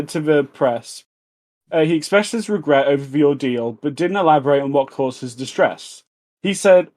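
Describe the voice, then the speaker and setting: A man's voice: on the high side, darkish tone. A man hosting a podcast in casual chat, giggling often as he talks. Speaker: podcast host.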